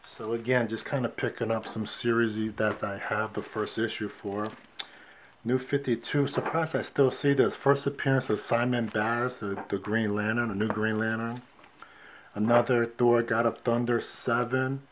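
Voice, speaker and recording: A man casually showing his comic book finds on camera, calmly counting off issue numbers one by one at a shelf indoors. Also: medium pitch, normal speed, a little hiss or hum. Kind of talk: casual show-and-tell vlog. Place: indoors at a wooden shelf display. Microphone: fixed camera, hands only in frame.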